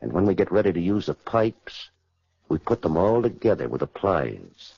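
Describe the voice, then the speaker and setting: A man's voice: low voice, big pitch swings, normal speed, creaky, warm tone, slightly rough, no room sound, heavily acted. An actor playing a cocky, sneering convict in slangy tough-guy talk, in a 1940s radio crime drama. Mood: confident, scheming. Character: tough, cocky male convict plotting a prison break. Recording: noisy, muffled 1940s radio recording.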